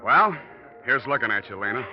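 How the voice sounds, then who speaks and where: A man's voice: medium pitch, expressive, brisk, music in the background, close to an everyday voice. A man playing a scheming, hard-boiled American office man in 1940s radio acting style. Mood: smooth, probing. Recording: muffled, noisy old radio recording.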